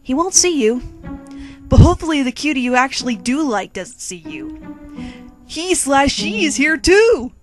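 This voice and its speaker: A woman's voice: sits high, sing-song, normal speed. A woman reading a comic second-person story theatrically, with sassy, bossy asides to the listener. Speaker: narrator reading own humorous fan fiction. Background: quirky music bed.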